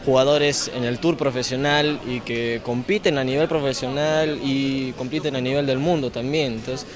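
Young male athlete, Peruvian accent, medium pitch, conversational interview in a crowded bowling alley. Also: steady tone, moderate pace. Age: young adult. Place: large indoor bowling alley. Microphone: handheld interview microphone. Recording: busy bowling alley chatter.

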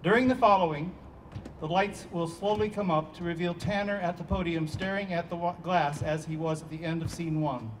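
A high-pitched male narrator reads stage directions from a script. He is picked up at some distance outdoors, with faint noise. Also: fairly even intonation, fairly quick.

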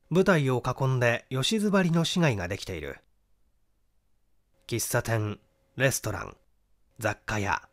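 A middle-aged man reading a literary mystery story as an expressive, dramatic audiobook narrator. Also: medium pitch. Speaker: audiobook narrator, stage actor.

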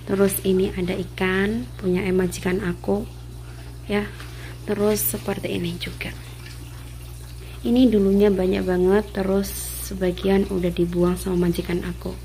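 A woman's voice: medium pitch, flat delivery, normal speed, long pauses, dark tone, slight background noise, low-quality recording. A young woman from Indonesia giving a casual house tour of her employer's apartment, filming on a handheld phone as she walks from room to room, often dropping to a near whisper. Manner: chatty, repeats "seven seperti ini", often drops to a whisper. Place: apartment in Taiwan, indoors. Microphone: handheld phone filming while walking.

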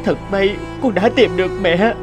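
A man's voice: high voice, moderate pace, over music, natural voice. A man playing an earnest, devoted young scholar in a cartoon, speaking expressively. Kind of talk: cartoon voice acting.

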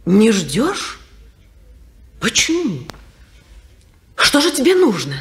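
An actress playing a bitter, reproachful young wife in a 1950s radio play. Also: female voice, a little high, huge pitch swings, slow pace, heavily acted. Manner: anguished, reproachful. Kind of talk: 1950s radio theatre acting.

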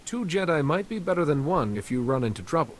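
A man's voice: on the high side, big pitch swings, quick pace, slight background noise. A man playing a composed, caring Jedi master in a video game cutscene, with a formal, reassuring manner. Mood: calm, resolute. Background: loud game score.

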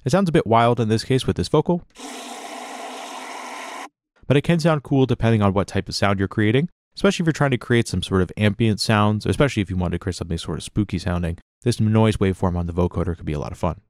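A man narrating a software tutorial as an instructor, in a casual, conversational, explanatory manner, close to the mic. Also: lowish voice, lively intonation, fast talker, no echo. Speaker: music production tutorial instructor.

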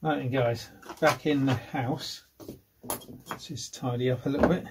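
A man in his fifties presenting a casual hands-on how-to vlog, informal and low-key, thinking aloud as he works. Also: fairly even intonation, measured pace. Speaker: hobbyist presenter. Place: at home, indoors and in a workshop. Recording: noisy home-made recording with clicks.

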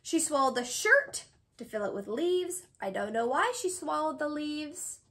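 A woman around her thirties reading a children's picture book aloud, expressive and sing-song, with drawn-out pauses for young listeners. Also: high voice. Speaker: read-aloud host, tutor. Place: small indoor room with bookshelves.

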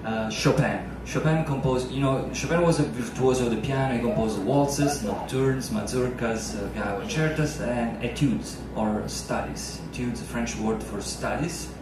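A man's voice: mid-range voice, moderate pace, few pauses, background noise. A man in his thirties, a native Italian speaker, chatting and joking with the audience between piano pieces. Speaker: pianist entertainer. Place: small ship lounge stage, indoors. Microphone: handheld mic, filmed by far camera. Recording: echoey lounge from far off.

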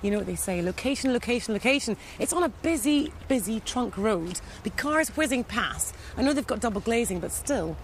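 A woman's voice: on the high side, lively intonation, fairly quick, hardly any pauses, slight background noise. Chatty woman TV presenter. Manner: chatty, teasing. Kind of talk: lifestyle TV presenting. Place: outdoors and in a family home.

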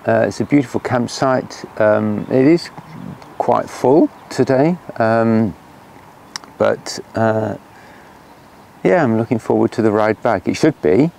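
Gravelly low-voiced man outdoors. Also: warm tone, a little hiss or hum. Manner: unhurried, hesitant with many fillers. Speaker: cycling vlogger. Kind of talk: casual outdoor vlog. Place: lakeshore campsite outdoors by mountains. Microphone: camera at a distance.

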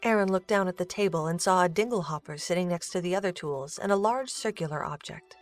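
Woman narrator reading an audio drama story, lowish voice, some music underneath. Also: brisk.

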